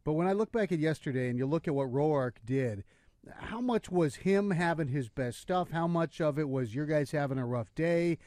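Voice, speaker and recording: A man's voice: fairly high pitch, expressive, moderate pace, a little mellow, dry recording, clean studio sound. A man hosting a sports radio show, chatting and asking a manager leading questions.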